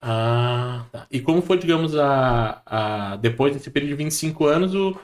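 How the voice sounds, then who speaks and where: A man hosting a relaxed podcast interview, asking slow, hesitant questions full of fillers. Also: medium pitch. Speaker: podcast host. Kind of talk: casual interview.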